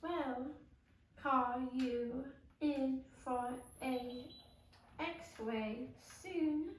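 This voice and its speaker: A woman's voice: high-pitched, very slow. A woman playing a kindly, matter-of-fact hospital nurse in an amateur musical table read. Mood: reassuring. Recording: echoey room, slightly distant, clear background noise.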